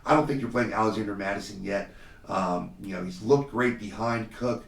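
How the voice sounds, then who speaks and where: A man hosting a solo podcast in a casual, confident way, slightly hoarse from a cold, with frequent fillers. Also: lowish voice, moderate pace, echoey room, far from the mic. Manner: confident, conversational, many fillers. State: slightly hoarse, under the weather. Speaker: podcast host. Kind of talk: solo fantasy football podcast talk.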